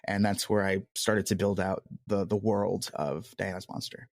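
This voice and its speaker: A man's voice: sits low, little pitch movement, normal speed, darkish tone, no echo, clean studio sound. A man speaking as a podcast guest in a reflective interview, telling anecdotes with hedges and quoted asides. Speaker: podcast guest, audio drama creator.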